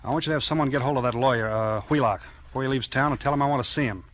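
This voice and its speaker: Man playing a bright-voiced hard-boiled police chief. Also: medium pitch, very fast, lightly acted. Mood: commanding. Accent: American. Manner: clipped, slangy, questioning tough talk. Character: hard-boiled small-town police chief, blunt and probing. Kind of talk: 1940s radio mystery acting. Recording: vintage 1940s radio recording.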